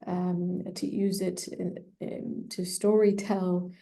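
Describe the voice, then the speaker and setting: A woman who specializes in personal branding, giving measured, thoughtful advice in an interview with frequent fillers. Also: fairly low pitch, flat delivery, vocal fry, mellow. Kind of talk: conversational expert advice.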